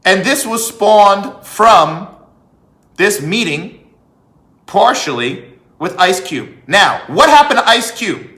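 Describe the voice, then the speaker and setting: Male commentator, high bright voice, deliberate and emphatic, hammering points with repetition. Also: big pitch swings. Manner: emphatic, repeats phrases for effect, rhetorical questions. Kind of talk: opinionated political monologue. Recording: echoey room.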